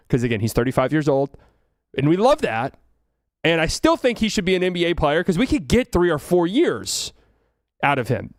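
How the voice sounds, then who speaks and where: A man's voice: mid-range voice, clean tone, dry recording, clean studio sound. A man from Texas with a light Texan accent, hosting a casual solo sports talk show, rambling, joking and thinking aloud. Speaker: podcast host.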